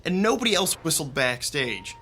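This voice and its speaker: A man's voice: a little high, very animated, moderate pace, bright, forceful tone, soft background music, full character voice. A man acting an eager, friendly young college theater technician in a naturalistic radio drama. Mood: upbeat, explaining.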